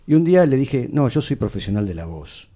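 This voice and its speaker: A man's voice: medium pitch, very animated, fairly quick, warm tone. An Argentine man, a voice professional, talking conversationally in a panel discussion.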